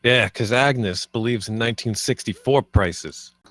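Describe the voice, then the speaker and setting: A man's voice: medium pitch, a little slow, fairly bright tone, no room sound, natural voice. Man playing a cocky slacker bro, dry recording. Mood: self-assured, casual. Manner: casual, slangy, self-assured. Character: cocky, laid-back slacker bro pool cleaner. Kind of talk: scripted table read of a comedy screenplay.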